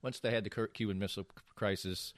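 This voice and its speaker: A middle-aged or older man hosting a podcast, trading playful banter and telling stories in a casual, halting way. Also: on the low side, fairly even intonation, no echo, studio quality. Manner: joking, playful, halting delivery. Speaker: podcast host.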